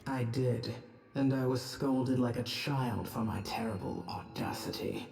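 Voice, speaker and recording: A proud male god in a fantasy video game, with a mid-pitched voice in heavy echo. He speaks formally and with measured weight over faint music. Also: huge pitch swings, vocal fry, darkish tone, full character voice. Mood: proud, grave.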